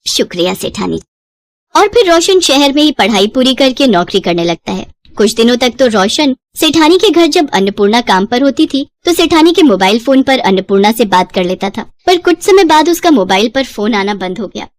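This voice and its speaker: High-pitched woman narrating a story. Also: sing-song, moderate pace, few pauses, no room sound. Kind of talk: scripted moral-story narration.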